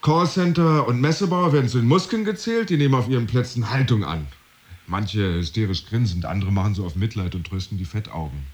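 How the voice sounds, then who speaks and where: A man's voice: lowish voice, lively intonation, a little fast, natural voice. An actor playing a brooding, wordy office worker in a radio drama, telling his tale in a confiding, wry, literary manner. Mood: wry, tense. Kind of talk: modern German radio drama monologue.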